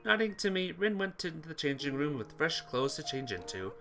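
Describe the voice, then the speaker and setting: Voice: medium pitch, big pitch swings, fairly quick, bright, forceful tone, a little hiss or hum. A streamer reading a visual novel aloud expressively, with casual asides to viewers. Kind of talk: game playthrough narration with chat asides.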